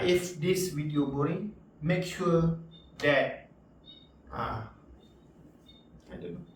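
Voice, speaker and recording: A man with a Malaysian accent giving a casual, unscripted product review in an informal, halting and repetitive way with slangy interjections. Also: a little high, a little hiss or hum. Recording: echoey room, distant mic, background music.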